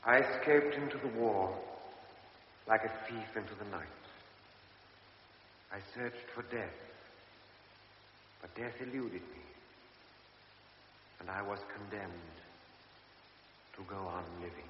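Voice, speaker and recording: An actor playing a guilt-ridden young army lieutenant in an old radio drama, speaking with urgency that sinks into hushed regret. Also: male voice, fairly low pitch, takes it slowly, long pauses. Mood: haunted. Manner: urgent, then hushed and haunted. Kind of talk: 1950s BBC radio drama acting. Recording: dull old radio recording with hiss.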